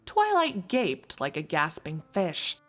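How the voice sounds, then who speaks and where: Low-pitched, expressive, unhurried woman narrator. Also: faint music behind. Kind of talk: scripted fan fiction narration.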